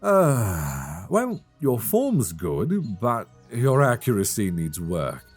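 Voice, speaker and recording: A man voicing a good-humored, teasing elf hunter in a soft ASMR fantasy roleplay, close to a binaural microphone. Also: fairly low pitch, wildly swooping pitch, takes it slowly, husky, soft background music, full character voice. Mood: patient coaching. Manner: playful, gently instructing, chuckling often. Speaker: voice actor in audio roleplay.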